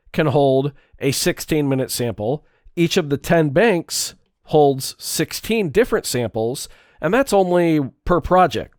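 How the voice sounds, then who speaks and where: A man with a stuffed-up nose, talking through a relaxed, chatty walkthrough of his music gear. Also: fairly high pitch, no room sound, clean studio sound. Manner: relaxed, chatty, with fillers and small restarts. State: stuffed up, congested. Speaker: music gear video creator. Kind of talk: casual tutorial walkthrough.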